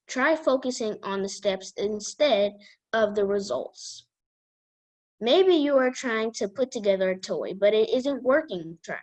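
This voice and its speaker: A woman reading a children's self-help book aloud as a guest reader, in a careful, halting manner. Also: a little high.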